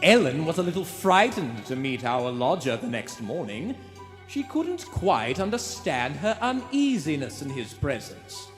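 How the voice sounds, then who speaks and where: An actor playing the suspenseful narrator of a vintage thriller, telling it theatrically in a live radio play. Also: male voice, a little high, sing-song, measured pace. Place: theatre stage before a live audience. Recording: echoey theatre with live music behind. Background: musical accompaniment.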